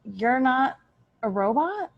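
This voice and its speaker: Woman playing a high-voiced, gushing starlet. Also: sing-song, slow, gravelly, breathy edge, muffled recording, exaggerated voice. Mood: earnest, puzzled. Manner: effusive, earnest, repetitive. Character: gushing, self-absorbed TV starlet, secretly a robot. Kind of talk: improvised sketch comedy.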